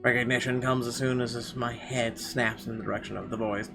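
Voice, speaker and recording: A mid-pitched man reads visual novel text aloud in a let's play style. His delivery is expressive, with occasional stumbles and offhand asides, and game music plays clearly behind him. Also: fairly quick. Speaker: game streamer.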